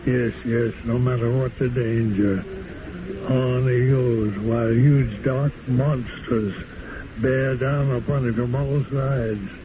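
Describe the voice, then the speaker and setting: Brief: very old man, slow halting old-timer delivery, tinny noisy tape. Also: medium pitch, fairly even intonation. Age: 90s. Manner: halting, slow, chuckling, old-fashioned diction. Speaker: interview guest, former radio actor. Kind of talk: casual interview, reciting an old radio bit.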